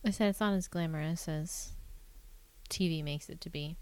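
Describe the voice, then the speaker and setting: A woman's voice: deep voice, normal speed, clear, resonant tone. A woman in her thirties, a podcast guest, chatting casually in a dry, joking, matter-of-fact way. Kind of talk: casual chat.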